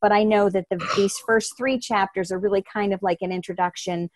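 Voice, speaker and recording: A woman's voice: mid-range voice, fairly even intonation, fairly quick, dry recording. A woman from the New Jersey shore, hosting an online book study as a casual video call. She is upbeat and welcoming and often laughs.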